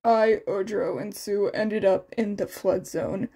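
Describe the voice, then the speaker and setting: A woman voicing a calm, matter-of-fact teenage boy student giving a plain account in an audio fan fiction reading. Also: mid-range voice, a little slow, breathy edge, pitched below the performer's own voice.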